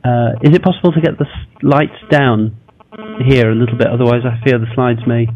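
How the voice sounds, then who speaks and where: A man in his forties speaks into a desk microphone in a lecture room, giving a guest talk. He hesitates often with fillers and makes self-deprecating asides, at a middling pitch. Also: fairly even intonation, fairly quick, dull audio, soft background music. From Singapore. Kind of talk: academic lecture.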